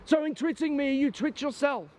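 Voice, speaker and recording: An actor playing a cool, calculating Victorian husband in a stage drama, speaking in formal, precise, mocking retorts. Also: male voice, very high-pitched, normal speed, slight background noise. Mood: brisk, calculating. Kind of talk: theatrical period drama acting.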